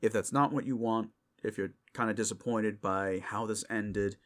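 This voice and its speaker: A man's voice: lowish voice, steady tone, moderate pace. A man, the creator and performer of the show, speaking casually to his listeners in an outro.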